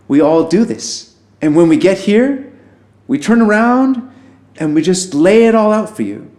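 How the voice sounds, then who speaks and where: A man's voice: high voice, a little mellow. A man playing an affable, nervous alien who poses as human, a pacifist pessimist speaking in wry, rambling, conversational asides in an audio drama. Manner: informal, rambling, self-interrupting, wry asides. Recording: roomy echo, music underneath.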